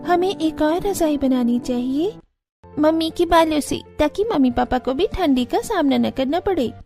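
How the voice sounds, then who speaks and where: Cartoon kid, done by a woman: small, affectionate, eager, sweet, high-pitched. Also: animated, moderate pace, mellow, soft background music. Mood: earnest. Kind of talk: Hindi cartoon story voice acting.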